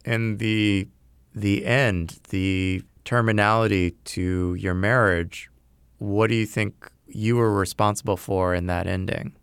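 A man hosting and narrating, asking earnest, probing questions in a measured, reflective way. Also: lowish voice, steady tone, smooth, dry recording, studio quality. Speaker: host and narrator. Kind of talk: reflective documentary narration and interviewing. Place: lives in a city neighborhood.

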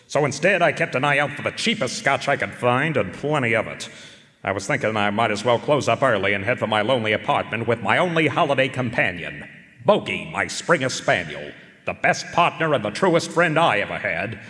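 An actor playing a weary, wry private eye in a noir radio drama, narrating and wisecracking in a deadpan, world-weary way. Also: male voice, mid-range voice, a little fast. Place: large performance hall stage. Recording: echoey concert hall, live stage broadcast.

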